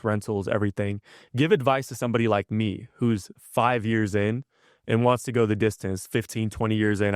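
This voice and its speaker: A man's voice: lowish voice, clear, resonant tone, dry recording, clean studio sound. A man in his twenties hosting a podcast, asking questions eagerly with a lot of fillers. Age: 20s. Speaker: podcast host. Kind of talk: interviewing a guest.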